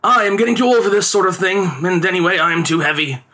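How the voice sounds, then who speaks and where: A man's voice: sits high, lively intonation, fast, sharp tone, voice pushed up. A man voicing an aging street conjurer and father in a storybook tale, melodramatic, wily and given to pleading. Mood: boastful, urging. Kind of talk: storybook character voice.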